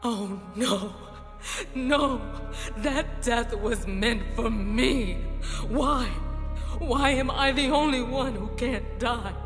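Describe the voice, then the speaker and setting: An actress playing a bitter, weary villainess in a video game who longs for death, acted with melodrama. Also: female voice, fairly high pitch, takes it slowly. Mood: tearful despair. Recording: long reverb under loud music.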